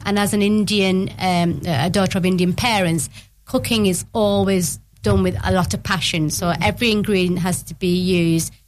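A middle-aged woman speaks as a guest on a radio interview, at a middle pitch, and talks about her craft with eager warmth. A faint hiss of noise hangs under her voice. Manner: warm, eager explainer, some restarts. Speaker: guest, small soap business owner.

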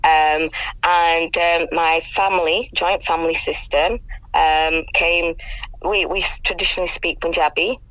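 Chatty, slightly hoarse middle-aged British woman. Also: lowish voice, fairly even intonation, unhurried, fairly bright tone, no room sound, low-quality recording. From Britain. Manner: chatty, enthusiastic, rambling. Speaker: radio guest, amateur actress. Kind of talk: casual radio interview chat.

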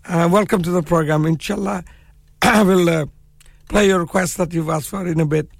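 A man hosting a live community radio show, hoarse with a cold and croaky, chatting in a relaxed way with many fillers. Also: high voice, normal speed, no room sound, clean studio sound. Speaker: radio presenter. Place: radio studio.

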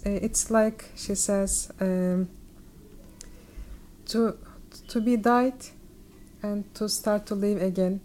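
A woman with a Turkish accent interprets carefully, often restarting her phrases. Her delivery is flat and even, with a warm, mellow tone. A faint hiss sits behind her. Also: mid-range voice. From Turkey.

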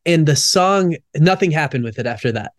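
Brief: young male musician, a bit high-pitched, laid-back and laughing. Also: normal speed. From United States. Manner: relaxed storyteller, laughs often, many fillers. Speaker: musician guest. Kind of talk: casual interview chat.

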